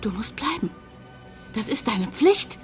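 An actress playing a bitter, pleading village hotel owner in a German radio drama, intense and reproachful. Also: female voice, a little high, sing-song, a little slow, faint music behind. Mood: pleading, angry.

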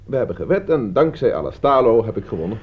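A husky-edged, smug schoolmaster in a radio play. He lectures with self-assurance and a very animated melody. The old recording sounds dull. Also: medium pitch, quick pace. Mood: self-satisfied. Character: smug, clever male teacher who stages a cruel prank. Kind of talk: radio play acting in Dutch.

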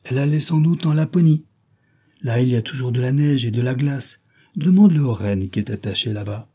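A man's voice: mid-range voice, lively intonation, a little fast, dark tone, slightly rough. A man voicing a pair of frightened wood pigeons who talk in an urgent, pleading way.

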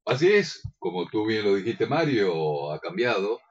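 A man's voice: on the low side, sing-song, a little slow, breathy edge, muffled recording. An Argentine man co-hosting a radio show, chatting and making announcements in a courteous, agreeable announcer's manner. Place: radio studio.

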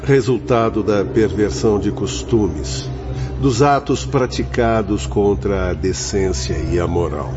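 A man's voice: lowish voice, a little slow, lightly acted. An actor with a Brazilian accent playing a severe, moralizing male spirit instructor in a radio drama. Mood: stern, explanatory. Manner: severe, preachy, formal. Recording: dull lo-fi audio under loud music.

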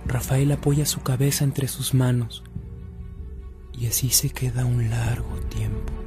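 A man's voice: medium pitch, fairly even intonation, measured pace, music in the background, lightly acted. A man narrating a scripted radio drama as a storyteller. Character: storytelling narrator of a saint's life.

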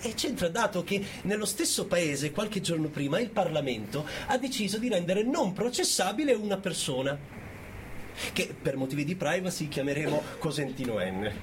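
A man's voice: on the high side, animated, moderate pace, background noise, lightly acted. A man performing a sarcastic, mock-indignant storyteller in a theatrical radio monologue, hesitating and chuckling through his points.

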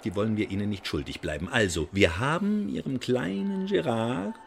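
An actor playing a suavely menacing French secret police agent in a German radio drama, speaking in a verbose, mock-polite way. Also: male voice, mid-range voice, expressive, moderate pace, vocal fry. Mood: coolly threatening.

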